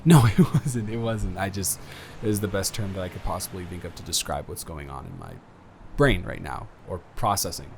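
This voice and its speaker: A young man playing an earnest, awkward college student smitten with a dormmate, rambling and halting in an intimate roleplay audio drama. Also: deep voice, lively intonation, creaky, slight background noise. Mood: playful, sheepish. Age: young adult.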